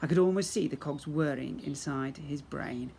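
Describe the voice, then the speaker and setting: A man's voice: on the high side, normal speed, a little mellow. Man reading a storybook, noisy background. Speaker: teacher reading a storybook to young children. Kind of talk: expressive storybook narration. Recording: clear background noise.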